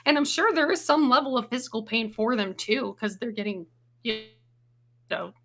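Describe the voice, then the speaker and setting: A woman's voice: on the high side, moderate pace, a bit bright. A woman who is a guest on a casual podcast, recorded remotely over the internet. She explains things at length and swears casually.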